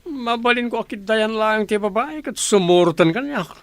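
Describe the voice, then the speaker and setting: A man's voice: high voice, expressive, normal speed, dry recording. A man acting a vain, money-minded lover in a comedy radio drama, with an Ilocano Filipino accent. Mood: smug, boastful. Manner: boastful.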